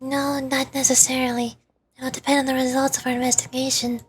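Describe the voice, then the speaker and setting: A woman voicing a calm, thoughtful young female deity, reading game dialogue in a measured, serious way. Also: high voice, soft background music, natural voice. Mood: grave, earnest. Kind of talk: dramatic read-aloud of game dialogue.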